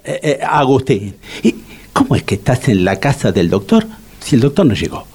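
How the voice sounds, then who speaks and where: Comic radio lead: a man as a flustered Argentine cook, mid-pitched and very animated, complaining yet soft-hearted. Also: normal speed, breathy edge. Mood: suspicious. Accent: Argentine Rioplatense. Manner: exasperated, complaining. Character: grumbling, exasperated, soft-hearted male empanada cook. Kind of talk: comedic radio theatre acting.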